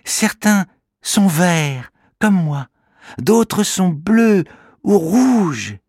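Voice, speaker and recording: A man voicing a little green living creature in a children's storybook, talking in a sincere, gently indignant way. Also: high voice, sing-song, extremely slow, slightly rough, no room sound, studio quality, pitched above the performer's own voice, heavily acted.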